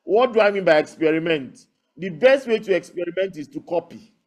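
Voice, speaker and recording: A man speaks with a Nigerian accent in a somewhat high voice. He tells his own story as an interview guest, making points emphatically and often checking in with rhetorical questions. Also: expressive, a little fast. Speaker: loudspeaker builder and audio engineer, guest.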